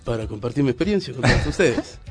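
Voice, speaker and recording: A man's voice: medium pitch, lively intonation, quick pace, soft background music. A man speaking as a radio studio guest, casually telling an anecdote.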